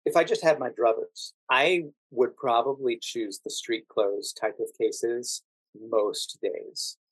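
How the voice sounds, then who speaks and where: An American man speaks as an interview guest in a close, dry sound with little room echo. He explains things thoughtfully and in a measured manner, at a middling pitch. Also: fairly bright tone, breathy edge. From United States. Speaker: podcast guest, actor working as a standardized patient.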